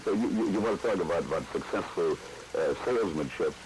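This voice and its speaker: A man with an extremely deep voice reminisces in a halting way. He stumbles, repeats words and fills pauses with many fillers. A faint noise sits under the recording. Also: a little mellow, low-quality recording. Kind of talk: reminiscing interview.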